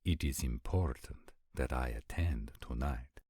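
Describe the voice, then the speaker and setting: A formal vampire prince played by a man in a roleplay audio drama. His voice is extremely low and husky, and he speaks slowly in stiff, measured phrases. He sounds dutiful and patient, with a weary edge, and the recording is close and dry. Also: warm tone, no room sound, full character voice. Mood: stern, defensive.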